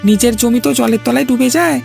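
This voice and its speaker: A woman acting as a feisty cartoon village farmer woman who rattles off her words with a gravelly creak. She is sharp-tongued and stands her ground, stressing her points like a born scolder. Music plays underneath. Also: a little high, fast talker. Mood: defiant, indignant. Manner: scolding, argumentative, emphatic. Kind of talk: Bengali cartoon dialogue.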